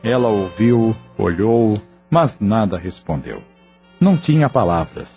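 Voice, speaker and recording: A man narrating an audio novel in a measured, somber storytelling voice. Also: fairly low pitch, sing-song. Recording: dull lo-fi audio with faint music.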